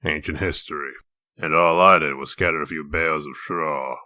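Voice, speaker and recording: A man playing a gruff, humorless wolf-man detective in a comic dub, curt, stern and deadpan. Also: extremely low pitch, normal speed, dry recording, clean studio sound, exaggerated voice. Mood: dry, stern. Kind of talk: comic dub character acting.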